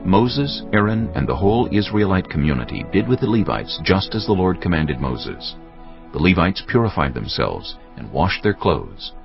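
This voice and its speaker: Male narrator for dramatized scripture: solemn, gravelly, expressive delivery, slightly muffled recording. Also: low-pitched, moderate pace. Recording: dull lo-fi audio under loud music. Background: music.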